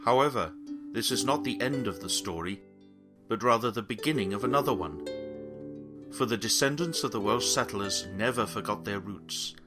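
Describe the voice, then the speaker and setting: A man's voice: lowish voice, animated. Man narrating a heritage documentary. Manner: measured, solemn delivery. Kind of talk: scripted narration. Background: guitar music.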